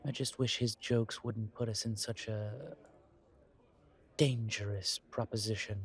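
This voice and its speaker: A man playing a genteel, world-weary vampire in an audio roleplay, speaking in a courtly, deliberate way with pauses and sighs. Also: fairly low pitch, flat delivery, breathy edge. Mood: wary, puzzled. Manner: courtly, deliberate, pausing, sighing between phrases. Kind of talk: slow audio roleplay acting, addressed to listener.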